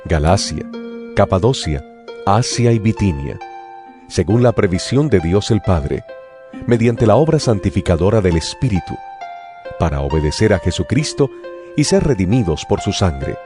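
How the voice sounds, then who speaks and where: A man narrating a dramatized Bible reading in a solemn, measured declamation. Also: lowish voice, very animated. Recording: music bed under the voice.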